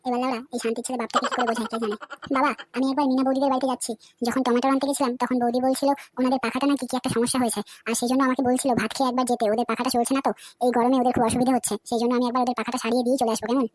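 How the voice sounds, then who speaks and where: A woman's voice: on the high side, little pitch movement, racing, close to an everyday voice. A woman voicing a cheeky, foul-mouthed young village man in a cartoon, brash and swearing often. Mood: crude. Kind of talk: cartoon voice acting. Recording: loud background music.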